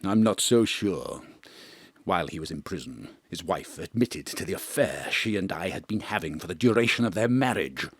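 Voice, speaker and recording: A pompous veteran stage actor, played by a man in an audio drama, bombastic and theatrical. His husky voice leaps all over the place between booming and hushed. The sound is dry and close. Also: lively intonation, normal speed, no room sound, heavily acted. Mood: melodramatic. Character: pompous grand old male stage actor, florid and melodramatic. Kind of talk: live remote audio drama acting. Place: home studio.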